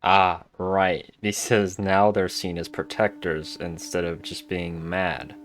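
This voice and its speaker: A man's voice: low voice, little pitch movement, slow pace, a bit bright. A man casually reacting to a game as he plays, with dry, muttered asides. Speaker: gamer commenting on a playthrough.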